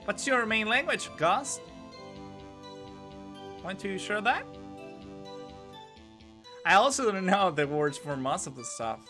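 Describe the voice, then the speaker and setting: Non-native english streamer chatting slow and easy, lots of ums, faint game music behind. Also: medium pitch. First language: not English. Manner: relaxed, hesitant with fillers. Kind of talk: casual game-stream chat.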